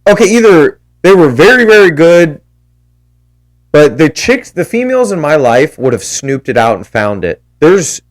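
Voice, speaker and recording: A man hosting a casual podcast chat, animated, swearing freely and supportive. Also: a little high, moderate pace, rough recording. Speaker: podcast host.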